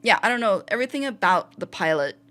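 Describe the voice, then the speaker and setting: A woman giving a casual fan tribute, rambling and thinking out loud as she goes. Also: medium pitch, expressive, fast. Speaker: fan contributor.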